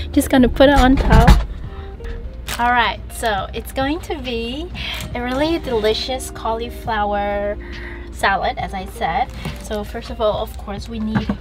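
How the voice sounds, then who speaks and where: A young woman who speaks as a chats her way through a van-life vlog, laughing easily and a little self-conscious. Her voice sits a bit high, with rain tapping on the van roof. Lo-fi background music plays under her. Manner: chatty, giggly, self-conscious. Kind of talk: casual vlog chat while cooking.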